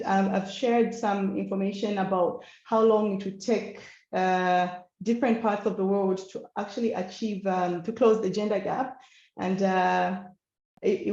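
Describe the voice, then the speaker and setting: A Zambian-accented woman giving an educational slide presentation in a measured, hesitant way with frequent pauses. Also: mid-range voice, dark tone, roomy sound, highs cut off. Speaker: guest speaker, women's rights organization founder.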